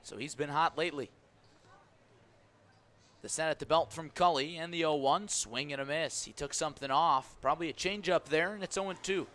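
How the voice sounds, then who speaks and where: A man's voice: a little high, moderate pace, a bit bright. A man calling baseball play-by-play live on the radio in short, clipped, vivid phrases. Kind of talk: live radio sports commentary. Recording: live ballpark broadcast with background noise.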